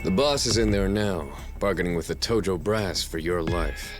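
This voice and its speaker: A man plays a smooth underworld fixer in a game dub, loyal to his boss. His voice is low, and he speaks casually with coy confidence. Music sits faintly behind him. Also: moderate pace, close to an everyday voice. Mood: grave, firm. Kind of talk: English video game dub acting.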